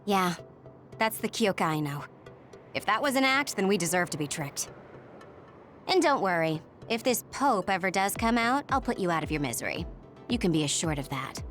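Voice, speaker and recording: A woman's voice: on the low side, big pitch swings, moderate pace, a bit bright, faint music behind. A woman playing a cool, blunt young fighter with dry humour in an English video game dub. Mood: dry, reassuring. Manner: dry, frank.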